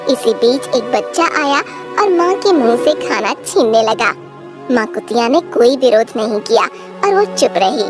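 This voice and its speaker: A woman tells a story as a scripted dramatic narration. Her voice is high and swings animatedly in pitch. Music plays clearly behind her.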